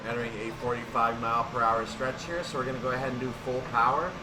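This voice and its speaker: A man's voice: mid-range voice, flat delivery, fast, recorded from a distance, noisy background. A man giving casual gameplay commentary on a train simulator, thinking aloud and correcting himself as he goes. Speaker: gaming video host.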